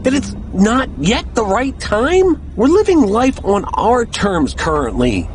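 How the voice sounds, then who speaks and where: An actor playing a stubborn, defensive young husband in an audio drama, argumentative and exasperated. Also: male voice, extremely high pitch, sing-song, slow, a little hiss or hum, heavily acted. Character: stubborn, defensive husband arguing on a road trip.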